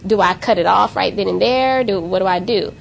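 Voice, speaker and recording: A woman's voice: medium pitch, fairly quick, creaky, a little hiss or hum. A woman co-hosting a podcast, talking about relationships in an emphatic way and building up to pointed exclamations. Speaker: co-host. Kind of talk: casual podcast discussion.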